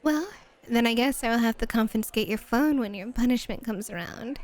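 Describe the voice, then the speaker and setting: Woman acting a flirty, dominant bunny-club hostess, slightly high. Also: normal speed, natural voice. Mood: playfully threatening. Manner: teasing, self-assured, courteous to guests. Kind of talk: ASMR roleplay acting.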